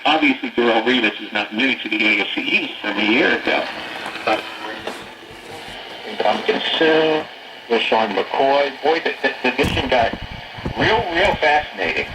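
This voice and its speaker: A man's voice: mid-range voice, lively intonation, normal speed. A man giving sports talk commentary, heard through an old radio speaker. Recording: noisy AM broadcast through old tube radio.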